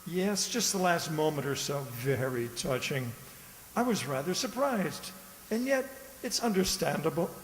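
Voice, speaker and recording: A man acting a smug, sly, old-fashioned gentleman and false friend in a radio drama, genteel and insinuating. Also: fairly high pitch, animated, unhurried. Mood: smoothly sympathetic. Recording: echoey hall, faint noise behind.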